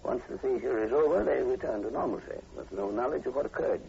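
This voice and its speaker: A man acting an inquisitive, scholarly professor in an old-time radio drama, speaking in a measured, slightly hesitant way. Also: mid-range voice, gravelly, mellow, natural voice. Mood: grave, explanatory. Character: inquisitive, scholarly male professor investigating a strange killing. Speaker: actor in a radio play. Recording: muffled, noisy vintage radio recording.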